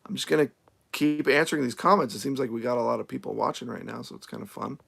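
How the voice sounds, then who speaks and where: A man with a gravelly, slightly hoarse voice chats loosely on a live stream, drifting between thoughts with plenty of fillers. His talk is easygoing and unscripted, and he often restarts his sentences. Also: medium pitch, fast talker, fairly bright tone. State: voice getting a little hoarse. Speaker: nomad RV YouTuber answering live chat. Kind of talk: casual live-stream chat. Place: RV camp in the desert, outdoors.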